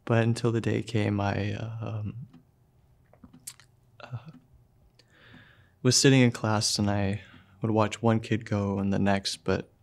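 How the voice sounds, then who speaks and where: Soft, halting young man. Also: fairly low pitch, level tone, vocal fry. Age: young adult. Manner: halting, soft-spoken, with pauses and fillers. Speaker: student filmmaker narrating his own story. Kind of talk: personal narration for a short film.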